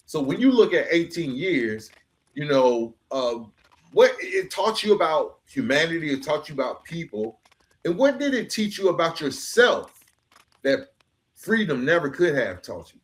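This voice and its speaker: A man's voice: fairly high pitch, a bit bright, low-quality recording. A man hosting a reflective long-form interview, mixing conversational street slang with rhetorical, motivational phrasing. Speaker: podcast host.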